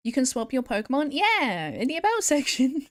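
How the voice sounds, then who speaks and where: A woman's voice: high-pitched, no room sound. Giggly woman streamer, dry close sound. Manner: chatty, giggly, answering chat. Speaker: VTuber streamer. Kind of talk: casual chat with viewers while drawing.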